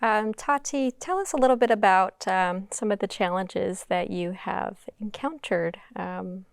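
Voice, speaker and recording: A woman moderating a conversational stage panel, speaking in a halting way with frequent ums. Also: mid-range voice, gravelly, dry recording, studio quality. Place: conference stage.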